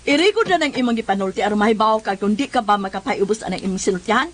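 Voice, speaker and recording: A woman's voice: mid-range voice, animated, very fast, natural voice. An actress playing an exasperated village woman who nags and lectures in a Cebuano comedy radio drama. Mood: lecturing. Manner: nagging, lecturing. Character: scolding, exasperated village woman, morally reproving.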